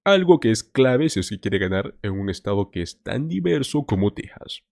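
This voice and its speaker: A man's voice: on the low side, sing-song, normal speed, gravelly, darkish tone, no echo, studio quality. A man hosting a political commentary show, talking to viewers in an emphatic, scripted way. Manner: emphatic, addresses viewers as "señores".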